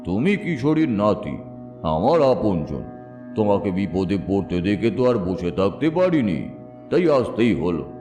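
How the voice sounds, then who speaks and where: A man playing a ghostly old tantric astrologer who speaks slowly with knowing chuckles, kindly but stern. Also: on the low side, sing-song, warm tone, roomy sound, faint music behind. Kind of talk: Bengali audio story acting.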